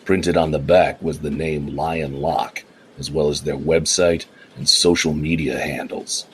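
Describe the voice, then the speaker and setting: Man with a super deep voice reading out a noir story, slow and steady. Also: slight background noise. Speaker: narrator. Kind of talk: scripted narration.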